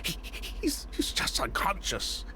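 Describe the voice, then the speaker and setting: A man's voice: unhurried, bright, forceful tone, slight background noise. An actor playing a grieving, fragile young merchant in a video game, crying as his voice breaks. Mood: grieving denial. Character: grief-stricken young man, merchant, desperate and fragile.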